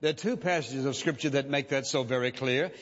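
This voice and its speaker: A man preaching a sermon as a pastor, measured and emphatic, repeating key phrases for weight. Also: medium pitch, fairly bright tone. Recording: dull lo-fi sound with long hall reverb.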